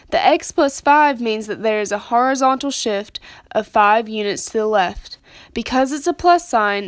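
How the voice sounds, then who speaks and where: A young woman narrates a scripted lesson in a fairly high voice. Her explaining is plain and methodical, and the sound is dry and close. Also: dry recording. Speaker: student presenter.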